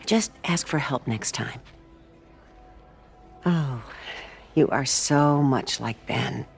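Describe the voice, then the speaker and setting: Older aunt, gently chiding, firm and caring; video game drama, faint music. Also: female voice, very deep voice, slow pace, creaky, heavily acted.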